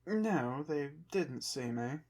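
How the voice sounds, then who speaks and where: A performer in an ASMR roleplay voicing a haughty, prideful undead Egyptian pharaoh, slow and softly acted, with pompous, formal phrasing. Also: medium pitch, lively intonation. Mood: chatty, composed.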